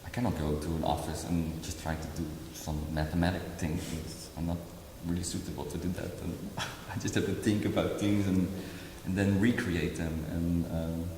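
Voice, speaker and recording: A man in his forties, a choreographer from Indonesia, talking about his work in a hesitant, halting way full of fillers and restarts. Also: low voice, little pitch movement, a little mellow, a little hiss or hum. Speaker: choreographer and dancer. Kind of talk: artist talk. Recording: very echoey hall.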